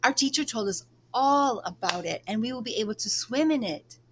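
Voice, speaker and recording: A woman acts out an earnest little schoolgirl in a storybook, pitched up and excitable. There is clear noise in the recording. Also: normal speed, natural voice. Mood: excited. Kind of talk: children's book read-aloud.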